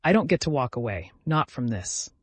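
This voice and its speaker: A man's voice: medium pitch, sing-song, moderate pace, dry recording. A man voicing a determined, haunted young man in his twenties, speaking earnestly in a dramatic audio story. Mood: grim resolve. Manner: earnest, resolute.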